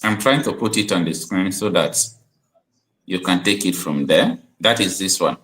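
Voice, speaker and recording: A man's voice: deep voice, normal speed, a bit bright. A man hosting an online call-in chat, speaking earnestly and often repeating himself. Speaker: online show host.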